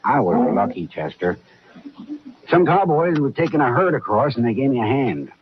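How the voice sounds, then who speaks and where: An actor playing a polite, genial frontier banker in a 1950s Western radio drama, courteous and a little evasive. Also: male voice, mid-range voice, sing-song, moderate pace, natural voice. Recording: muffled vintage radio recording, faint noise.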